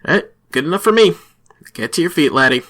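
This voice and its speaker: A taunting police chief. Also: female voice, low voice, expressive, brisk, noisy background. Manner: taunting, mock-friendly. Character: gruff taunting small-town police chief. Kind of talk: radio drama acting.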